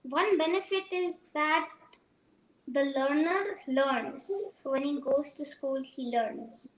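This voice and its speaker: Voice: high voice, takes it slowly, fairly bright tone. A young child giving careful, simple answers as a learner guest, recorded in an echoey room.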